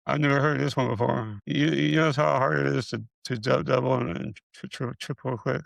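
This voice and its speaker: A middle-aged man with a raspy voice, giving a casual update on his health. He stutters and repeats syllables, and he is short of breath as he struggles to get words out. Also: mid-range voice, fairly even intonation, a little fast, no room sound. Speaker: video creator sharing his illness progress.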